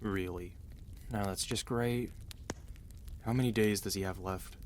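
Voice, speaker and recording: A man acting out a weary, protective mafia boss husband in an ASMR roleplay, commanding and dry yet gentle and intimate. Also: on the low side, fairly even intonation, unhurried, a little hiss or hum, natural voice. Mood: stern, businesslike. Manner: tired, authoritative, dry, intimate.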